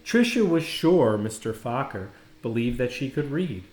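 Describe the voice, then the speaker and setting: A man narrating a children's storybook expressively, with dramatic, deliberate phrasing and pauses. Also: mid-range voice, expressive, a little mellow. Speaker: storybook reader. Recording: roomy, echoing indoor sound.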